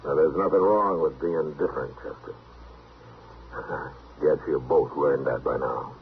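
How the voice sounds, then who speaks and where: An American actor playing a steady, wry frontier town marshal in an old-time radio western, speaking in a measured, dry way. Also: male voice, rumbling low, vocal fry, exaggerated voice. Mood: dryly amused. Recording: tinny, hissy old radio recording.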